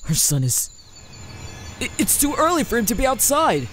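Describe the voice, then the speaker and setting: A woman's voice: on the high side, sing-song, normal speed, a bit bright, a little hiss or hum. A woman acting a tired, worried elf father in an audio drama. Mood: alarmed.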